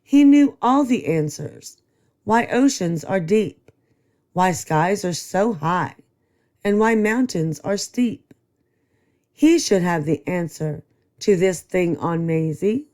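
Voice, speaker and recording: A woman reading a rhyming children's book aloud as a storyteller, in a steady, rhythmic delivery. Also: lowish voice. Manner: slow, rhythmic rhyming read. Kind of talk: children's read-aloud narration.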